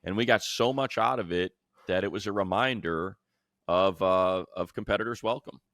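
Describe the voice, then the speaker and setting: Deep-voiced American man talking sports. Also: moderate pace, fairly bright tone, no room sound, clean studio sound. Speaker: sports radio play-by-play announcer and podcast co-host. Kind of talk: casual sports chat.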